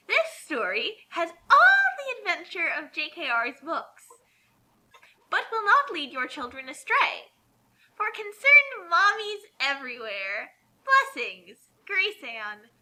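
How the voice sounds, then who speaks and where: A woman's voice: very high voice, pushed and bright, full character voice. A woman voicing a naive, sugary, pious mother who gushes as she reads out her author's note. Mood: preachy, gushing. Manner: sugary, exclamatory, earnestly silly. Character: gushing, naive, pious young mommy author.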